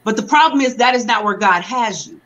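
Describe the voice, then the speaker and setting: A young woman preaches to her congregation over a video call, her voice coming through a webcam with slightly dull, muffled sound. She slows down to read scripture aloud, then explains it in loose, conversational preaching talk. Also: a little high, fairly bright tone. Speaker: reverend preaching. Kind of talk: sermon. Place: room at home.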